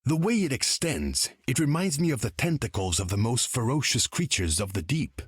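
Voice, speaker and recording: An imperious ruler of the deep for a video game. His husky voice swoops through grand, formal phrases with pompous pride, recorded close and dry. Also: sing-song, normal speed, no room sound. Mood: proud, aloof. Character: haughty imperious undersea king, proud conqueror. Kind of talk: video game character dialogue.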